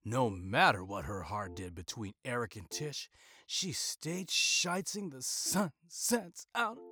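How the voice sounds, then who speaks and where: A chatty male freeloader in an audio sitcom , acted out by a man, rambling through his theories. His mid-pitched voice swings widely as he gets animated. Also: slow. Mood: excited. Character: chatty freeloading male friend obsessed with fandom gossip.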